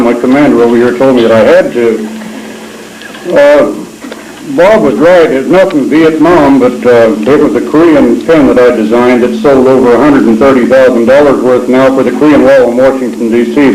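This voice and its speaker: An older man, hoarse with a cold, reading a prepared patriotic speech to veterans in a folksy, earnest way with halting delivery. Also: mid-range voice, darkish tone, a little hiss or hum. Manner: folksy, earnest, reads haltingly with throat clearing. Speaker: veterans' post speaker. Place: reunion hall with echo. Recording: echoey hall amateur recording.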